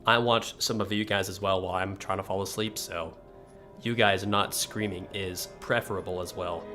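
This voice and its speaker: Laid-back deep-voiced man streaming games. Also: normal speed, faint music behind. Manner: relaxed, chatty, reads chat aloud. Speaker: game streamer. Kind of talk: casual live-stream chat. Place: small room facing a wall. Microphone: desk microphone, close.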